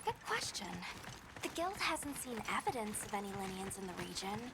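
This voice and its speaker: A woman's voice: mid-range voice, very animated, moderate pace, close to an everyday voice. An actress playing a poised, earnest woman guild official, polite and careful, in a video game. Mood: polite, cautious. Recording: game audio with loud background noise.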